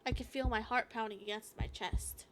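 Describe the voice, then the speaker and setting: A woman reading a visual novel aloud as a gaming streamer, halting and expressive, with casual asides. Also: on the high side, a little hiss or hum. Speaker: gaming YouTuber.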